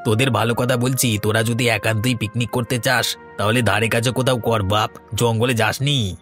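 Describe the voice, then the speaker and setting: A worried village uncle played by a man in an animated horror story. He warns in a folksy, admonishing way at medium pitch, over faint music. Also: moderate pace, natural voice. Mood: worried, warning. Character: cautious, worried village uncle warning the young. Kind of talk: dubbed horror cartoon dialogue.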